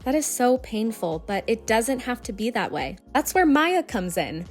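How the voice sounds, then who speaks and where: A woman's voice: on the high side, sing-song, normal speed, soft background music. A woman reading a scripted advertisement in an upbeat, persuasive way. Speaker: ad voice-over. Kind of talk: scripted ad read.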